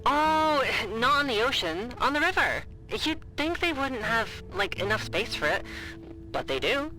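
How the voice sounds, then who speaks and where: An actress playing a chatty, nervy young woman in an audio drama, with a casual, halting delivery. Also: on the high side, big pitch swings, sharp tone, faint music behind, exaggerated voice, rough recording. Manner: casual, halting, filler-heavy. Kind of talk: naturalistic audio drama acting.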